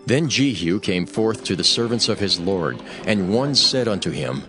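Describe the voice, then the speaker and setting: Guy narrating the bible, lowish voice, lots of rise and fall, music going behind. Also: animated, moderate pace. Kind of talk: dramatized scripture narration.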